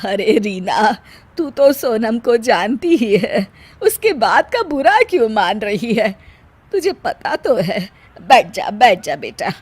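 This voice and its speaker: A woman voicing a kindly, concerned middle-aged mother-in-law in an acted moral story, gentle and coaxing. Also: fairly high pitch, sing-song, slow pace, husky, no echo, exaggerated voice. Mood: soothing.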